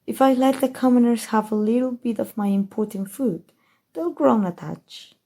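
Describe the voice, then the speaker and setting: A woman with a Mexican accent playing a haughty, scheming evil queen in a soft, musing ASMR roleplay monologue. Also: fairly high pitch, creaky. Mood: indulgent, musing. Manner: slow, measured, musing delivery addressed to a listener.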